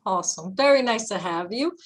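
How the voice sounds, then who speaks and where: A woman with a medium-pitched voice moderates a panel. She is chatty and informal, and slips Spanish interjections into her talk. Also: lively intonation, brisk. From Dominican heritage. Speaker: moderator, host.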